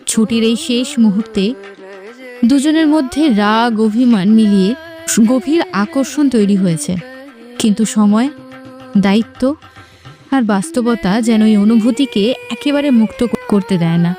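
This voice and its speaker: A woman's voice: a little high, unhurried, mellow, faint music behind. A woman narrator reading a scripted romantic story.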